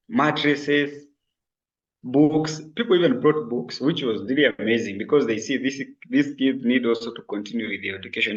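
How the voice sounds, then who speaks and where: Young man on a muffled, distant mic. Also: mid-range voice. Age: young adult. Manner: hesitant, with frequent fillers and restarts. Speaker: guest sharing an experience. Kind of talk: casual spoken testimony.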